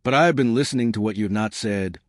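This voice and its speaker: A man voicing a quiet, compassionate male diplomat in a sci-fi story, speaking gently and with certainty. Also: lowish voice, fast talker, no room sound, studio quality, close to an everyday voice. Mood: gentle, solemn. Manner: soft-spoken, gentle, certain.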